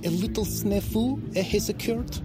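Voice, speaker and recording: An actor playing a nervous, placating immigrant forger with an Eastern European accent and hesitant broken English in an audio drama. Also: male voice, sits high, moderate pace, loud music behind the voice, natural voice.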